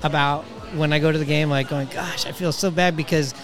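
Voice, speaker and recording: A male podcast host around his thirties or forties, with a fairly high voice. He chats casually and rambles along talkatively. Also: fairly even intonation, fairly quick. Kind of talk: casual chat.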